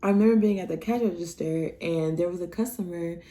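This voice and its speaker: A young woman from Nebraska chats through her stories in a casual vlog. Her voice sits a little low, with a warm, mellow tone. She acts out the conversations with sudden leaps in pitch. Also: quick pace. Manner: animated, acts out dialogue with big pitch jumps. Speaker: vlogger.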